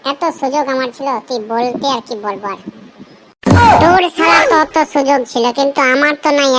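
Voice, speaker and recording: A crude, blustering cartoon man with a very high voice, performed by a woman. He bullies in slang and is quick to threaten. The recording has faint background noise. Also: fairly bright tone, low-quality recording. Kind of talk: comic cartoon dialogue.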